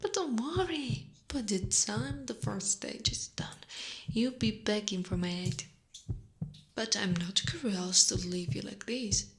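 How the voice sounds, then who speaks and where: A woman voicing a giant, possessive, seductive spider monster in a breathy, whispered ASMR roleplay. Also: mid-range voice, lightly acted, rough recording. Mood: soothing menace. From Italy. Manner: breathy whispering, slow and deliberate.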